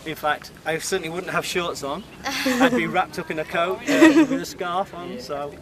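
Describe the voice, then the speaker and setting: A friendly British man talks easily in a street interview, pausing on ums as he thinks. His voice sits a little high and sounds some way from the mic. A crowd chatters clearly behind him outdoors. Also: sing-song. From United Kingdom. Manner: chatty, friendly, hesitant with fillers. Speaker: tourist interviewee. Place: outdoors at a busy tourist site. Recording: distant mic with crowd noise.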